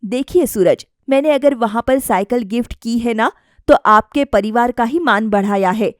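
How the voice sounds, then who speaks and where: A woman's voice: fairly high pitch, normal speed, dry recording, studio quality, close to an everyday voice. A woman voicing a self-assured young wife, a politely defiant daughter-in-law who explains herself firmly in a family story. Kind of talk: acted dialogue in a family story.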